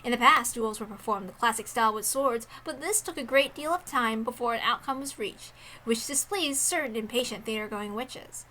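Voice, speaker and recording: A woman's voice: a little high, moderate pace, sharp tone, slight background noise, poor audio. A woman reading visual novel text aloud expressively as a streamer, with casual asides in between. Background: game soundtrack music.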